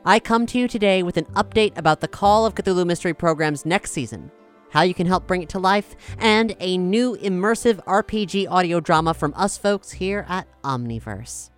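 A woman hosting a podcast, reading a scripted announcement in a measured, polished way, recorded close in a studio. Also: on the low side, soft background music. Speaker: showrunner and podcast host.

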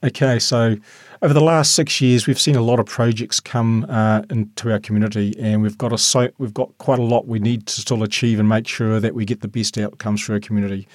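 A middle-aged man with a New Zealand accent gives earnest answers, pausing often on "uh." His voice is on the low side, and the close, dry recording has no room echo. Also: steady tone. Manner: earnest, halting with uhs. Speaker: local board member. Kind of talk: podcast interview answers.

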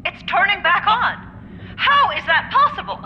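An actress playing a commanding, angry woman scientist in an audio drama, forceful, biting and urgent. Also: very high-pitched, big pitch swings, normal speed, lo-fi sound. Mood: tense, demanding. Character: commanding, furious woman scientist facing a disaster. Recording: long reverb, noisy ambience.

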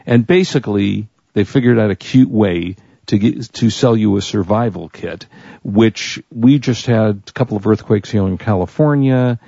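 Low gravelly American male radio host. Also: moderate pace, dark tone, dry recording, highs cut off. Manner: jokey, teasing. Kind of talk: casual radio banter.

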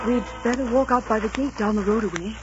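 An actress playing a young innkeeper's daughter, devoted and anxious, in 1940s radio melodrama style. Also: female voice, on the high side, fast, loud music behind the voice, lightly acted. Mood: tender, anxious. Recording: noisy, dull 1940s radio recording.

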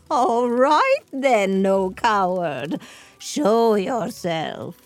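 A sharp-tongued old grandmother, half-blind and stern, as a woman puts her on, scolds with a cackling edge. Her voice leaps up and down as she drags out each slow word. Faint music plays behind her in a dry, close recording. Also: on the high side, big pitch swings, no echo, full character voice. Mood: scolding.

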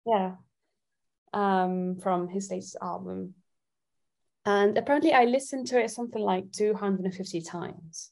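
A woman from Italy chatting casually as an interview guest, thinking out loud with hesitant phrasing. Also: medium pitch, steady tone, normal speed, vocal fry. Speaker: singer-songwriter guest.

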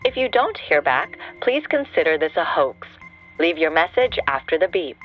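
Cool, cryptic answering-machine voice, measured, expressive melody, faint music behind. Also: female voice, low voice, lo-fi sound. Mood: cool, ominous. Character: cool, ominous answering-machine greeter of secret agency. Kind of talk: scripted audio drama phone greeting.

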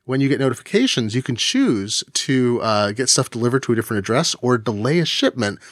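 A man in his forties co-hosting a podcast, chatting casually and animatedly, jumping high in pitch for jokes. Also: big pitch swings, a little fast, a bit bright, no echo, clean studio sound. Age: 40s. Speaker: podcast co-host. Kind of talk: casual chat.

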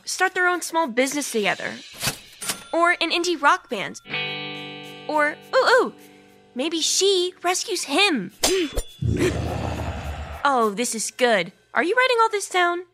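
A woman's voice: sits high, very animated, a little slow, pauses often, music in the background. A woman voicing a precocious, sassy young girl who is blunt and bossy, in a comedic audio drama. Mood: bossy, opinionated.

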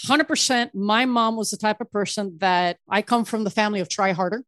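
A middle-aged woman therapist, born in Ecuador and raised in Miami, telling her story in an animated way as a podcast guest. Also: medium pitch, a little fast, no echo. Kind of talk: casual interview storytelling.

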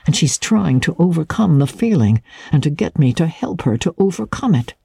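A woman voicing a reserved, weary gentleman husband in an audiobook, clipped and restrained with some impatience. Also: deep voice, very animated, normal speed, vocal fry, slightly rough, dry recording, exaggerated voice. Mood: restrained, probing. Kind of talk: dramatic audiobook character reading.

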